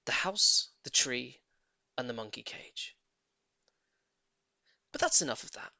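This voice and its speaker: A man narrating a children's audiobook in an expressive, theatrical and emphatic storytelling manner. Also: mid-range voice, slow, vocal fry, no room sound.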